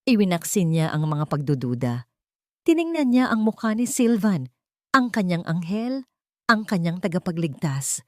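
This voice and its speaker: A Filipino woman delivers dramatic scripted story narration. Her voice sits on the low side, and the recording is dry and close, with little room sound. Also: very animated, moderate pace, no room sound.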